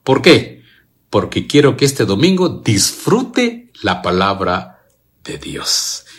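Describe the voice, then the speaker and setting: Slow, expressive Guatemalan preacher man. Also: fairly low pitch. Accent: Guatemalan Spanish. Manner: addresses listeners directly, repeats phrases, asks and answers own questions. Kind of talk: devotional gospel reflection to an online and radio audience.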